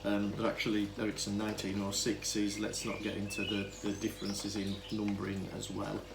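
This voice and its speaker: A man's voice: fairly low pitch, completely flat delivery, brisk. A man gives an informal, unscripted demonstration, explaining things in a hesitant, rambling way and often interrupting himself. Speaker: hobbyist demonstrator of vintage telephone equipment. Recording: clearly noisy amateur camera recording.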